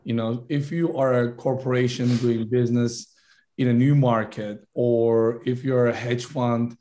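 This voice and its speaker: A man from Turkey, a founder making a fluent business pitch in a podcast interview, correcting himself on the fly. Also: little pitch movement, measured pace, mellow, a little hiss or hum. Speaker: startup founder guest.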